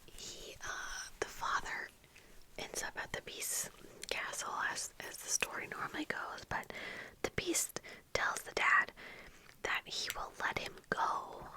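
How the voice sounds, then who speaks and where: Female ASMR creator, whispered and unhurried, crisp bright hiss to the whisper, casual, halting get-ready chat. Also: poor audio. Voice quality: breathy unvoiced whisper. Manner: casual, halting, meandering storytelling. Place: small indoor room with bookshelves. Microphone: close furry-covered microphone on desk, earphones in.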